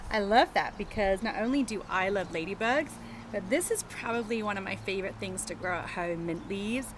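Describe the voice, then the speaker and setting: A woman teacher doing an animated read-aloud of a picture book for young children, chatting and asking them questions as she goes. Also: mid-range voice, normal speed, background noise. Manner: engaging the children with questions and tag questions.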